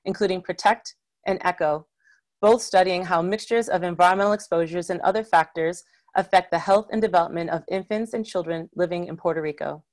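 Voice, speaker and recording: A woman with a somewhat low voice moderates an online panel. She introduces the guest speaker graciously, with a few fillers, over a video call. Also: fairly quick, a little mellow.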